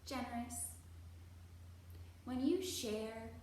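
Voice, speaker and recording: A woman with a fairly high voice leads a children's storytime in a very echoey room. She speaks at an unhurried, teacherly pace and enunciates key words with exaggerated care. Her manner is encouraging, and the mic sits at some distance. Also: animated, a little mellow, slight background noise. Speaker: children's library storytime host. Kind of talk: children's storytime teaching, talking to young viewers.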